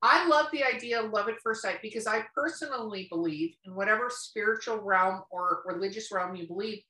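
A woman's voice: mid-range voice, fairly quick, sharp tone. A woman of around fifty chatting casually about books, opinionated and rambling, swearing freely. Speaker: podcast co-host. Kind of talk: casual book chat. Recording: roomy, echoing sound.

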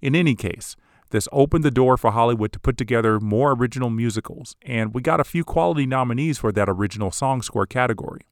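A man hosting a podcast, giving scripted, measured commentary on film history. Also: mid-range voice, no echo, studio quality. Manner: measured, analytical. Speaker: podcast host.

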